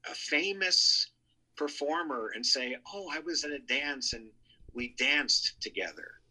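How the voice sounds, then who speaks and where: A man's voice: fairly high pitch, lively intonation, measured pace, pushed and bright, low-quality recording. A man contributing to a talk show, reminiscing in an emphatic, anecdotal, conversational way. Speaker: show contributor, entertainment writer.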